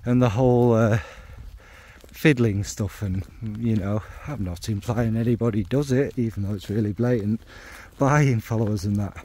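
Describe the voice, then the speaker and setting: A man's voice: fairly low pitch, normal speed. A man chatting casually about his fragrance hobby while out walking the dog, rambling and wry as he questions himself. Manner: thinking out loud, wry and self-questioning. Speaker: fragrance video maker. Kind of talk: rambling walk-and-talk chat. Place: outdoors on a dog walk in the rain.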